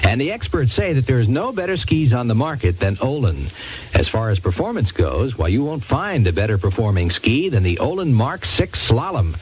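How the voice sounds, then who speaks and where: A man's voice: medium pitch, very animated, normal speed, slight background noise, poor audio. An American man working as a radio DJ, delivering 1980s music radio patter and ad reads on an old aircheck.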